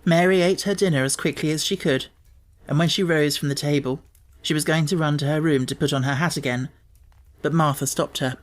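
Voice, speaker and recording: A man narrating a children's novel as an audiobook in a measured, clearly phrased way. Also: fairly high pitch, steady tone. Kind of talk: scripted storybook narration.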